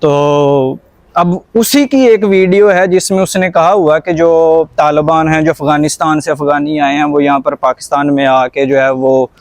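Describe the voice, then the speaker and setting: A man with a Pakistani accent speaks in a somewhat high voice. He explains his points earnestly in conversation, often repeating a phrase to stress it. The sound is dry, with very little room echo. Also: steady tone, measured pace. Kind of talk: conversational discussion.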